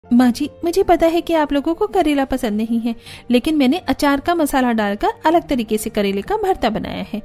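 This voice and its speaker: A woman's voice: sits high, expressive, normal speed, a little mellow, faint music behind, pitched above the performer's own voice. A woman voicing an earnest, put-upon young daughter-in-law in a cartoon family drama, polite and plaintive. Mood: bright, eager.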